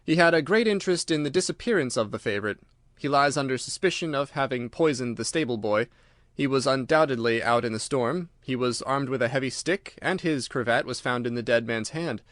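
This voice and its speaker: A man voicing an earnest, confident police inspector in an audiobook, courteous and formal, with a brisk, assertive manner. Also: medium pitch, a bit bright, no room sound, studio quality, close to an everyday voice. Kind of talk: audiobook character dialogue.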